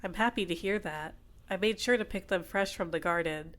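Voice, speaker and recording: Woman acting as a doting female villain, possessive, softly coaxing, slightly low pitch, soothing ASMR roleplay. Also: fairly quick, lightly acted. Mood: teasing, doting. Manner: gentle, coaxing, soothing, lightly teasing. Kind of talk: ASMR roleplay, personal attention.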